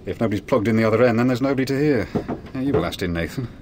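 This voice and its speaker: An actor in a radio drama playing a burly, jovial van driver, blunt and needling, who relishes morbid tales. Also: male voice, mid-range voice, lively intonation, racing, natural voice. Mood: wry, teasing. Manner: teasing, blunt, relishes gruesome storytelling. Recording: noisy old radio drama recording.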